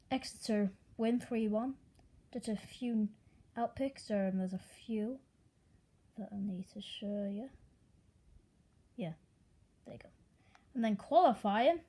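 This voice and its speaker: A woman's voice: medium pitch, slow, long pauses, mellow. A young woman with an American accent, originally from Italy, reading out football results in a casual, offhand way with dry asides. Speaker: sports news presenter.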